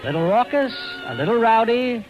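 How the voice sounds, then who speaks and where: An American man announces a radio play in a high, lively, swooping voice. His delivery is polished and theatrical, in 1940s scripted style. Orchestral music plays clearly behind him on an old radio recording. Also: moderate pace, highs cut off.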